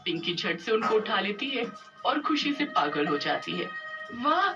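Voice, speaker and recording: A woman reading scripted narration for an animated story. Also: medium pitch, normal speed, distant mic, muffled recording. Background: music.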